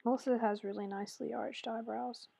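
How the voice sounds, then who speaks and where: A woman with a hoarse, raspy voice chatting through a makeup tutorial voice-over, rambling and correcting herself as she goes. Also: on the high side, fairly even intonation, a little fast, warm tone, no room sound. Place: voice-over recorded separately from the video.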